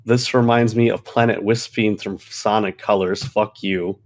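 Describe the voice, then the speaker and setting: A man with a fairly low voice chats as a gaming podcast host. He rants in a theatrical, exasperated manner and swears freely. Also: steady tone, a little slow. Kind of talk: ranting casual chat.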